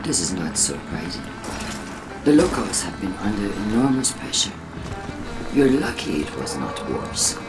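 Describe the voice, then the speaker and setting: Cold, haughty leader, swooping low voice. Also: female voice, big pitch swings, exaggerated voice, poor audio. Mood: dismissive. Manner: measured, disdainful, pointed. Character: cold, haughty human faction leader, distrustful of outsiders. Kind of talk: video game cutscene acting. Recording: loud music behind the voice.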